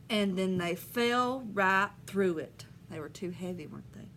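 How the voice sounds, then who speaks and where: Lady reading a kids book out loud, bright lively voice, asks the kids stuff, bit of background noise. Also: mid-range voice, a little slow. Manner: animated, asks listeners questions. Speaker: elementary school teacher reading aloud. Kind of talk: storybook read-aloud to children.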